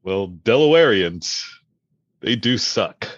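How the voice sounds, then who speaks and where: A man playing a breezy, teasing wizard official in an improvised comedy sketch, with a husky voice and a drawn-out delivery. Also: fairly low pitch, animated, no room sound, highs cut off. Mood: mocking, amused.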